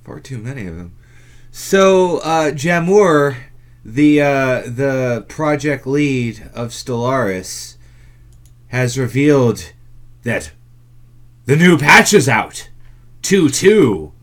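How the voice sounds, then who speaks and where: A man's voice: medium pitch, big pitch swings, slow, long pauses. A man hosting a gaming podcast in casual chat, with a wry, stop-and-start delivery. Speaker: podcast host.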